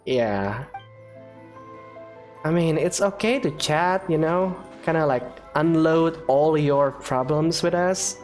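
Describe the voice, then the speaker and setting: A woman's voice: low-pitched, takes it slowly, a little mellow. A woman hosting a gaming video, casually reading dialogue aloud and commenting, with game music behind her.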